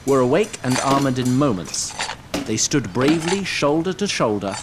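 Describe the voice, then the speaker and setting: A man's voice: medium pitch, lively intonation, normal speed, background noise. A man narrating a scripted fantasy audio drama in a dramatic storytelling style.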